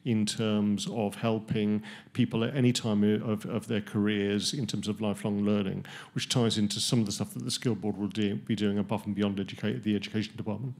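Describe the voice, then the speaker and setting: A man's voice: lowish voice, fairly even intonation, fairly quick, gravelly, few pauses, darkish tone. A middle-aged man on a conference panel who gives hesitant, explanatory answers about training and industry. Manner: hesitant start, then explanatory. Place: large conference hall. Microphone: handheld microphone.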